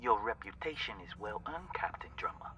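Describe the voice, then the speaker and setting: An actor playing a pirate captain who threatens in a calm, measured voice, in a video game cutscene. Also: male voice, fairly low pitch. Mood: coldly menacing. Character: cold menacing adult male pirate captain. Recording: distant voice, dull sound, faint music.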